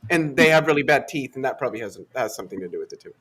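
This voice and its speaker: A man's voice: medium pitch, expressive, racing, a bit bright, slightly rough. A man in casual chat, joking in a crude, sardonic way.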